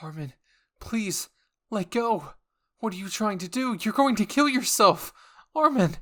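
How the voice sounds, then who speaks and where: A man's voice: high-pitched, expressive, measured pace, pushed and bright, pitched above the performer's own voice, heavily acted. A man voicing an anguished young soldier in an audio roleplay, pleading desperately with emotional outbursts. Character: anguished young soldier screaming inner pleas for a friend.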